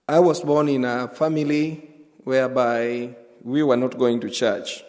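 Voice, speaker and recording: Kenyan guy, first language luo, preaching slow and earnest, lots of pauses, big echoey church. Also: mid-range voice, fairly even intonation, darkish tone. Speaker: guest pastor. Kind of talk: church testimony. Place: church sanctuary.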